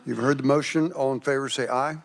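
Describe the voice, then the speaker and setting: A man's voice: mid-range voice, steady tone, fairly quick, vocal fry. A man chairing a legislative committee meeting, running procedure in a courteous manner.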